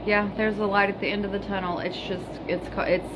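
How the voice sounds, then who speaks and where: A woman's voice: medium pitch, little pitch movement, brisk. A woman in her thirties, vlogging in casual chat from a small hotel room into a phone held at arm's length, telling stories and swearing casually. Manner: chatty storyteller, swears casually. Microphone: phone camera held at arm's length. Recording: noisy hotel room ambience.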